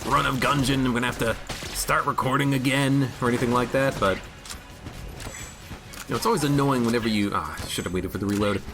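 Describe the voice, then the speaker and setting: Slightly hoarse man streaming a game. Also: medium pitch, moderate pace. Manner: chatty, reads chat aloud, musing. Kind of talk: casual gameplay commentary.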